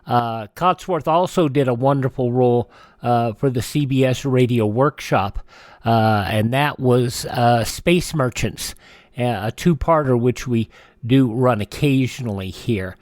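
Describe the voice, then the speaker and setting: Guy hosting a radio show, mid voice, kinda halting and informal, dry studio sound. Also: mid-range voice, darkish tone, no echo. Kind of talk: informal presenting of radio history.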